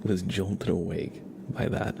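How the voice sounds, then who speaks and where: A man with a lowish, creaky voice talks softly to his livestream viewers, often dropping to a near whisper. He is casual and amused, speaking close to an ASMR microphone with clear noise behind him. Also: fairly even intonation, takes it slowly. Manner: hushed, amused, chatting to viewers. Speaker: live streamer, ASMR host. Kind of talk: casual ASMR livestream chat, soft-spoken to half-whispered.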